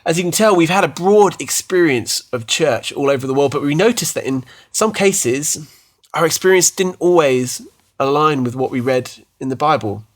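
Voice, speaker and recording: A man's voice: fairly high pitch, normal speed, few pauses. British guy, 30s, chatty podcast cohost, keeps restarting his sentences, pokes fun at himself. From United Kingdom. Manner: chatty, self-deprecating, frequent restarts. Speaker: podcast co-host. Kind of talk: casual faith podcast chat.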